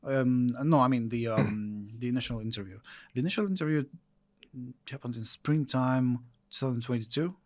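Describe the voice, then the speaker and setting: A man's voice: medium pitch, a little mellow, no echo. A Ukrainian-accented man whose first language is Russian, a reporter giving long interview answers with frequent fillers and restarts. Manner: halting, many restarts and fillers. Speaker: military reporter, guest. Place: studio.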